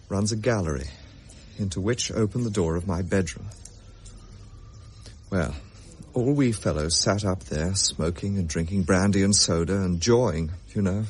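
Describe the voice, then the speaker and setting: An actor playing an English gentleman who recounts a ghostly tale in a measured, old-fashioned audio drama style. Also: fairly low pitch, husky. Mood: genial, reminiscing. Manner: measured, genteel, grave.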